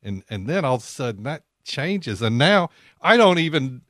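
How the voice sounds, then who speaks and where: A man's voice: fairly high pitch, sing-song, normal speed, a bit bright, no room sound. Man, radio host, chatty, repeats words, dry studio sound. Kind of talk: live financial talk radio. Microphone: studio microphone.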